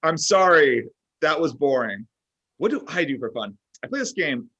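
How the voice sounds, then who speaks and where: A man's voice: a little high, very animated, moderate pace, sharp tone, breathy edge, exaggerated voice. A man playing an oblivious, self-absorbed boss, needy and boastful, in a comedy table read, blurting and justifying himself. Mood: boastful, goofy. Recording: dull lo-fi online call.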